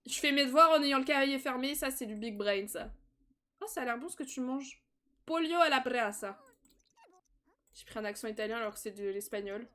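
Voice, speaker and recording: A young woman giving casual live-stream commentary while playing a life-simulation game, chatty, laughing often and breaking into excited squeals. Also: high-pitched, a little fast.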